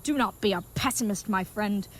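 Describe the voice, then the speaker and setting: Woman acting as earnest knightly leathersmith, good-hearted and dim, grandiose archaic phrasing, medium pitch, audio drama comedy, noisy background ambience. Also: normal speed, a bit bright, lightly acted. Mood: excited, triumphant.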